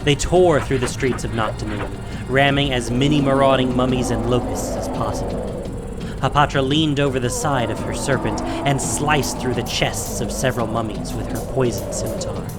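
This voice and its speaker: A man narrating a fantasy audio drama in a measured, theatrical storytelling style. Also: medium pitch. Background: music and sound effects.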